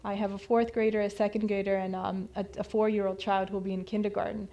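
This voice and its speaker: A woman's voice: medium pitch, level tone, quick pace, mellow. A woman in her thirties from India, with Hindi as her first language, giving an informal slideshow talk at a community center, chatty and full of fillers and restarts. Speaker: community presenter.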